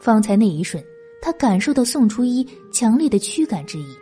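A woman's voice: a little high, sing-song, fairly quick, mellow, soft background music. A woman reading scripted dramatic narration of a historical novel as an audiobook narrator.

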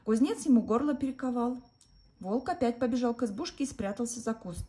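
A woman reading a folk fairy tale aloud for children at bedtime, in a gentle, even storytelling manner. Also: on the high side, fairly quick.

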